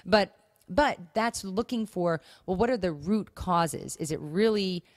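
Low-pitched woman co-hosting, close dry sound. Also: a little slow, dry recording. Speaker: podcast co-host. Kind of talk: casual conversational talk on marriage and parenting.

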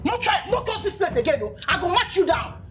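A young woman with a Nigerian accent acting a loyal friend who scolds and switches to loud Pidgin. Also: very high voice, sing-song, a little fast, soft background music. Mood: angry. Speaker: protective friend. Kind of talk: skit drama acting.

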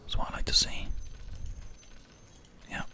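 A man speaks in a breathy whisper, very close to a binaural microphone. He gives soft, soothing commentary on his gameplay at an unhurried flow, as an ASMR host does. Keyboard and mouse clicks sound behind him. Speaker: host, gamer.

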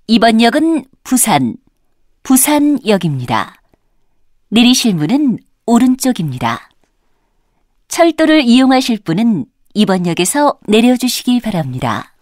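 A woman's voice: a little high, sing-song, measured pace, darkish tone, no echo, studio quality. A woman reading scripted subway station announcements in a formal, carefully enunciated manner. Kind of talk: scripted public transit announcement.

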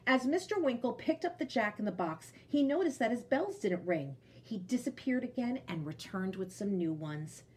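A woman in her thirties reading a storybook aloud to children with an expressive delivery. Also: a little high, moderate pace, few pauses. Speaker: storybook reader.

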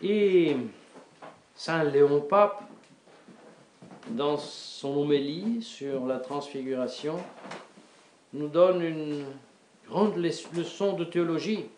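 A middle-aged male priest preaching a sermon in a small chapel, halting and repeating words with many fillers. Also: fairly high pitch, a little mellow, slight background noise. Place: small wood-panelled chapel before an altar. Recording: echoey chapel.

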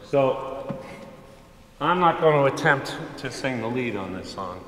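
A middle-aged man, a high school chorus director, chatting casually to a concert audience from the stage with plenty of ums and asides. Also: lively intonation, a little slow, fairly bright tone. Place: large school auditorium stage. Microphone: mic on a stand, speaking from among the choir. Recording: roomy hall reverb with faint audience ambience.

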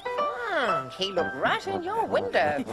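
A woman voicing a patient, curious tortoise in a preschool cartoon, explaining things gently and expressively over music. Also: very high voice, wildly swooping pitch, slow, heavily acted. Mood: investigating. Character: sensible, patient, inquisitive female tortoise friend. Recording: loud music behind the voice.

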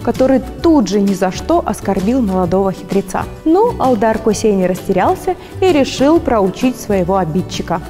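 Female lecturer, warm storytelling read for kids, soft music bed. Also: medium pitch, big pitch swings, moderate pace, hardly any pauses, long reverb tail. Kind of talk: scripted narration for children.

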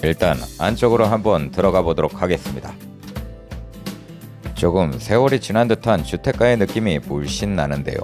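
A man hosting a food review vlog with scripted voice-over narration. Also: low voice. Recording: loud music behind the voice. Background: music.

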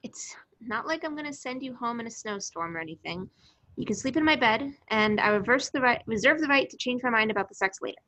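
A woman plays a casually aloof young love interest with a bright, forward voice. She is blunt, dry and teasing, pitched a little high, in the relaxed style of an amateur screenplay read-through. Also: a little fast. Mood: blunt, cool. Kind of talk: amateur screenplay table reading. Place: online group video call.

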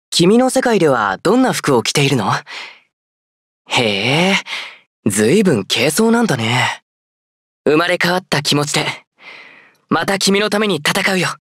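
A gentle young game hero, loyal and sincere. His youthful voice swings easily in pitch and is recorded close and dry. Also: no room sound. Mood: earnest, loyal. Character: gentle, loyal young swordsman prince in armor. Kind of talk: Japanese video game character voice lines.

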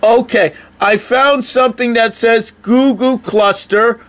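A man on a phone call speaking in a halting, deliberate, literal way as he spells and reads aloud. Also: very high-pitched, steady tone, a bit bright, a little hiss or hum. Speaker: phone call recipient stringing a caller along. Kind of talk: slow phone conversation, spelling and reading aloud.

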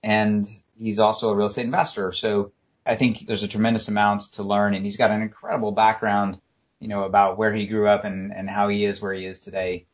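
Male podcast host with a low voice and a friendly, loose delivery full of fillers, on muffled lo-fi audio. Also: brisk. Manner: friendly, relaxed, with frequent "uh" fillers. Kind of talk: casual podcast interview.

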